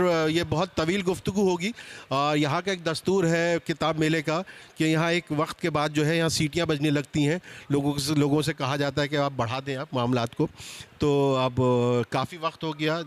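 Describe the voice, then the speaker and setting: A man moderating a seminar panel, speaking politely and interjecting as he steers the discussion. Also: a little high. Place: book fair seminar with a live panel.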